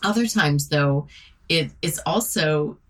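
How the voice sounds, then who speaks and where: A woman's voice: sits low, slow pace. A woman hosting an interview, explaining things conversationally and putting questions to her guest. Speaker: relationship podcast host. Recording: distant from the microphone.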